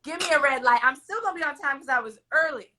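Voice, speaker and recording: A woman hosting a podcast, talking loudly and emphatically in an upbeat, encouraging way, with a raspy voice. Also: sits high, quick pace. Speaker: podcast host. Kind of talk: encouraging casual interview chat.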